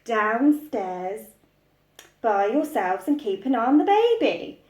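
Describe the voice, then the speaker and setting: An exasperated storybook mum voiced by a woman reader who badly wants peace from her children. She speaks slowly and firmly, with a put-upon weariness. The room echoes around her. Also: on the high side, very animated, a little mellow. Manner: firm, sighing, put-upon.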